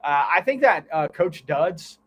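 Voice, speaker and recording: A man's voice: fairly high pitch, lively intonation, a little slow, fairly bright tone. A man hosting a sports livestream, mixing live play-by-play with casual, teasing banter. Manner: banters and teases his co-host.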